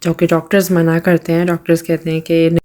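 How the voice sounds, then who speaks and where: A woman in her thirties with a Pakistani Urdu accent, narrating her daily routine in a casual voice-over while hoarse from a cold, with hesitations and throat clearing. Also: lowish voice, completely flat delivery, a little fast, warm tone. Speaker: vlogger.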